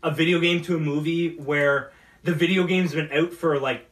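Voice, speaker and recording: A man co-hosting a casual geek talk show, speaking in halting, repetitive bursts with lots of "like". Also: on the high side, steady tone, a bit bright. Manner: halting, repetitive, heavy use of "like". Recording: echoey room, distant from mic.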